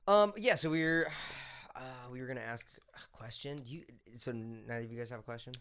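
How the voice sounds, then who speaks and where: A young man co-hosting a casual podcast, goofy and laughing, with deadpan asides. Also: mid-range voice, brisk, smooth. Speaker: podcast co-host. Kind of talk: casual chat.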